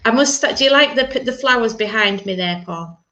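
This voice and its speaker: A woman's voice: fairly high pitch, normal speed, sharp tone, muffled recording. A woman with a Yorkshire accent hosting a church livestream, chatty and rambling, laughing often. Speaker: church service host.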